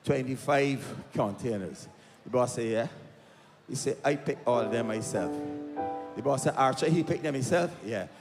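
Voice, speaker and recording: A man with a Barbadian accent entertaining a live crowd as a comic storyteller and singer, speaking into a stage microphone. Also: medium pitch. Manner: unhurried storyteller acting out dialogue with pauses. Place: outdoor festival stage. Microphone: stage microphone through PA. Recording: live PA with long reverb, music behind.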